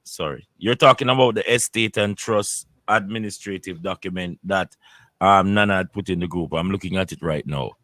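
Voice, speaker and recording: Deep, bright-toned man hosting a call. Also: moderate pace, no echo, clean studio sound. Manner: polite, hesitant, many "um"s. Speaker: workshop host. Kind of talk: hosting an online class. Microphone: video call.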